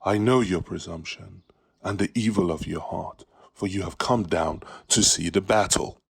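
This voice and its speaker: Man acting angry eldest brother, very low, gravelly, scolding, scornful, Bible story. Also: normal speed, dry recording, studio quality, exaggerated voice. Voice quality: gravelly, husky. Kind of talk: dramatised Bible reading.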